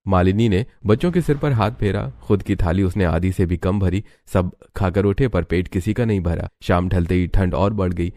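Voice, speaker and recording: A man's voice: sits low, normal speed, hardly any pauses, a little mellow, no room sound, studio quality. A man reading scripted story narration as the narrator.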